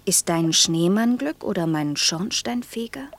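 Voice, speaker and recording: An actress playing a gentle, pensive, earnest woman in a quiet radio play, speaking in a breathy, lyrical, hushed storytelling manner. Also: mid-range voice, expressive, slight background noise. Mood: tender, dreamy. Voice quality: breathy, husky. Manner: hushed, slow, lyrical storytelling that slips into near-whisper. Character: gentle, pensive woman telling a fairy tale, gravely earnest. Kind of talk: quiet, intimate German radio play acting.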